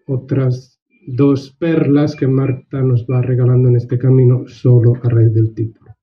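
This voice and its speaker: Man, book presenter: measured and earnest, reflective delivery; middle pitch; dull, lo-fi sound. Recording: dull lo-fi recording.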